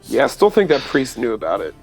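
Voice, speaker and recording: A man's voice: medium pitch, huge pitch swings, fast, creaky, soft background music. A man playing a tabletop roleplaying game, talking casually and a bit tentatively. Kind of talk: casual in-character roleplay chat.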